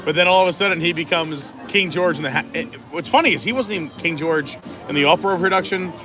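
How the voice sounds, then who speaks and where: A man's voice: fairly high pitch, fast. A man with a New York accent hosting a casual theme park livestream, chatty and laughing often. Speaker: livestream host. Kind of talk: casual chat on a live walking stream. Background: loud music.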